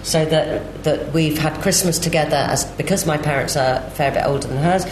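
A woman's voice: sits low, moderate pace. A woman panelist telling a heartfelt personal story at a live event. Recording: echoey room, faint background noise.

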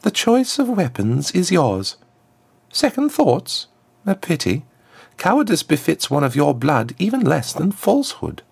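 A man's voice: on the high side, wildly swooping pitch, a little slow, darkish tone, voice pushed up, exaggerated voice. A haughty woman ambassador, acted out by a male reader, lifted well above his natural register. The delivery is formal and smug, swooping with silky menace in storybook villain fashion. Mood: taunting. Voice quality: smooth, lifted contralto.

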